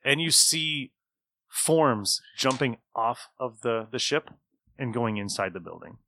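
A man's voice: mid-range voice, animated, takes it slowly, bright, forceful tone, slight background noise. A man acting as game master, narrating scenes and bantering with players in a tabletop role-playing game.

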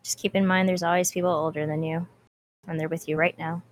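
A woman's voice: on the low side, little pitch movement, a little fast, slight background noise. Playful woman in her twenties. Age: 20s. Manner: playful, mock-dramatic complaining. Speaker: podcast co-host. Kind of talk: casual chat.

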